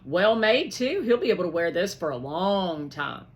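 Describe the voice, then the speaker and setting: A woman's voice: lowish voice, lively intonation, normal speed, lightly acted. A woman reading aloud, voicing a friendly grown man who approves of a gift. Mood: approving.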